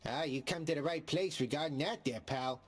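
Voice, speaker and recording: An actor playing a chatty, folksy undead skeleton in a video game, with a raspy voice and slangy wise-guy talk. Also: a little high, normal speed. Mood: chummy. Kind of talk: scripted video game voice acting.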